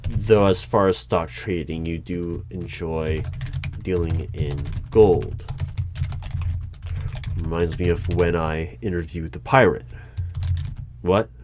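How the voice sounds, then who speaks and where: A man playing a formal, unflappable hiring manager at a secret bureau, speaking in a measured, deadpan way in an ASMR interview roleplay. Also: low voice. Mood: formal, curious. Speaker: interviewer in a one-sided roleplay. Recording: clear noise with keyboard typing. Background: computer keyboard typing and clicks.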